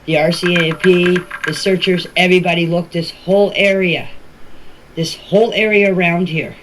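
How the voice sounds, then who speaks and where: A woman's voice: lowish voice, fairly even intonation, moderate pace. An older woman with a Canadian Maritime accent speaks informally, answering questions and often repeating and rephrasing herself. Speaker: family member speaking about a case. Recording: noisy amateur voice clips with clicks.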